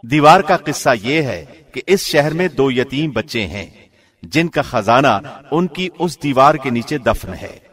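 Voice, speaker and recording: Male presenter type, mid-range voice, measured and formal, scripted reading of sacred text translation. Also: mid-range voice, animated, fairly bright tone. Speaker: translation reader, radio presenter. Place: studio.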